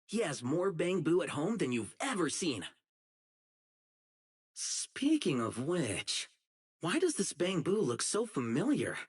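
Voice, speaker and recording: A man voicing an earnest, righteous young public security officer in a video game, dutiful and excitable, with dramatic delivery. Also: a little high, slow pace, pauses often, slightly rough, exaggerated voice. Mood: businesslike, suspicious. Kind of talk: isolated game character voice lines, dramatic acting.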